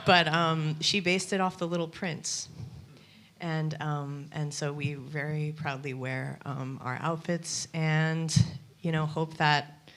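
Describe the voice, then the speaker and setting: Woman, host, low pitch, chatty, relaxed, live event Q&A. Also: slow, slight background noise. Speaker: event host and performing musician. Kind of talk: hosting an audience Q&A.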